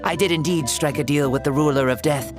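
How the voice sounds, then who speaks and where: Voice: medium pitch, brisk, music in the background, natural voice. An actor playing an ancient hero who inhabits a young boy's body in a video game, theatrical, jaunty and self-assured. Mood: solemn, storytelling.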